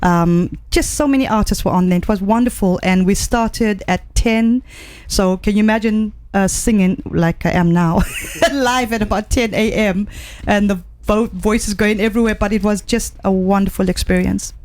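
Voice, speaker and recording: A woman's voice: mid-range voice, normal speed, no echo. A middle-aged woman from Zambia, a singer, chatting as a guest in a radio studio and telling stories with enthusiasm. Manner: warm, enthusiastic storytelling with fillers. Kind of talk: casual interview chat. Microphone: studio microphone.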